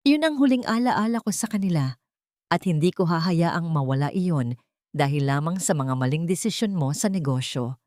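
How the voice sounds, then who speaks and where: A woman voicing a wronged wife in an audio story, firm, measured and defiant. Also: fairly low pitch, a little mellow, no echo, studio quality, lightly acted. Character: wronged wife, coldly resolute and protective.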